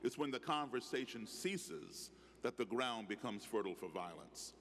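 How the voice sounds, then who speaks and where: A man's voice: medium pitch, lively intonation, moderate pace, fairly bright tone. A middle-aged man speaking emphatically as a panelist, handheld mic on a stage in a large hall. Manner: emphatic, preacherly cadence. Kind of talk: panel discussion. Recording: hall reverb, faint background noise.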